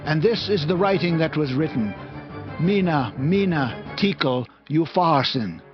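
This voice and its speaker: A man's voice: on the high side, animated, unhurried. A man playing a grave prophet who rebukes a proud king in a solemn, declamatory way, in a dramatized scripture reading. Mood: stern, accusing. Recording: dull lo-fi audio with music.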